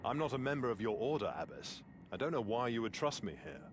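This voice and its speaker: An actor playing a grieving, weary troubadour in a video game, somber and subdued. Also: male voice, mid-range voice, sing-song, fast talker, noisy background, lightly acted. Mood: somber, doubtful. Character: grieving, weary male troubadour, gentle and doubtful. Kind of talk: scripted video game voice acting. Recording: loud music behind the voice.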